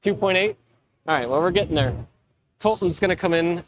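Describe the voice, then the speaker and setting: A man's voice: sits high, a little fast, slight background noise. A man co-hosting a casual livestream interview, chatty and enthusiastic, with frequent restarts. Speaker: livestream co-host interviewing creators. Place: busy expo floor.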